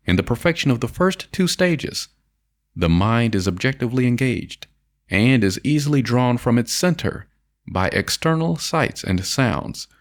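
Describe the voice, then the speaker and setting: A man with a lowish voice narrates an audiobook. His intonation rises and falls widely from phrase to phrase. The delivery is formal and measured, with deliberate emphasis on the written prose. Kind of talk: scripted audiobook narration of an old self-help essay.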